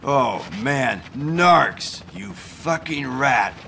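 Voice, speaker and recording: An actor playing a spaced-out, paranoid middle-aged American hippie with a drawling, guru-like manner in a video game. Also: male voice, mid-range voice, expressive, extremely slow, gravelly, exaggerated voice. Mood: alarmed, paranoid. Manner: drawling, pseudo-spiritual guru talk. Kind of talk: video game cutscene acting. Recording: loud game noise, vehicles, helicopter.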